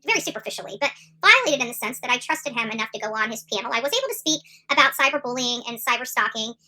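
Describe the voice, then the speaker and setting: Fast, bright-voiced woman livestreamer. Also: on the high side, animated. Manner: rambling, emphatic, many fillers and restarts. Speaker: YouTube commentary host. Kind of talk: casual livestream commentary.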